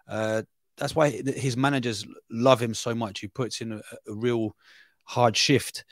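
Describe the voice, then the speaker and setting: A man's voice: medium pitch, flat delivery, measured pace, no room sound. Middle-aged Brazilian-born man, London accent, hesitant guest talk, dry close sound. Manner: hesitant, many restarts and fillers. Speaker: football journalist guest. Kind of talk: casual interview chat.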